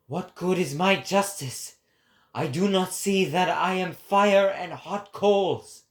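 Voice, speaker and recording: A man performs an impassioned prophet in a dramatic reading. His voice sits fairly high for a man and moves very slowly. He declaims with grandiose, deliberate weight, as if preaching to a crowd. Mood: scornful, impassioned.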